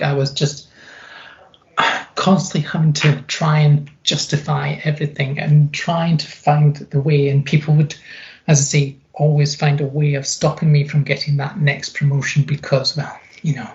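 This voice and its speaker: A woman with a deep, gravelly voice, recounting her experiences in an emphatic, conversational interview style. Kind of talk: reflective personal account in an interview. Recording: dull, muffled lo-fi sound.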